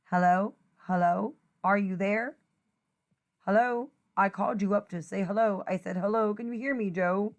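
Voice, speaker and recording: A woman's voice: mid-range voice, moderate pace, pitched below the performer's own voice, lightly acted. A woman playing an insistent, exasperated telephone caller in a children's storybook, calling out questioningly. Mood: insistent, frustrated.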